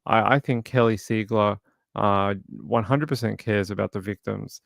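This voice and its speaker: A man hosting a true crime livestream, talking casually and reading chat, his voice crackly and gravelly, halting with fillers and restarts. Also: fairly low pitch, no echo, studio quality.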